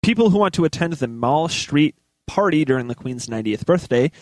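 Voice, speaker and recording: A man's voice: fairly high pitch, expressive, a little fast, no room sound. A man co-hosting a talk show, casually reading out news items with offhand asides.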